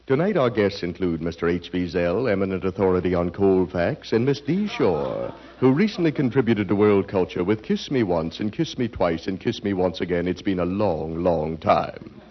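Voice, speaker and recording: A man's voice: low-pitched, expressive, moderate pace. A man announcing a 1940s radio comedy in a formal, mock-grand way. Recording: dull old radio broadcast.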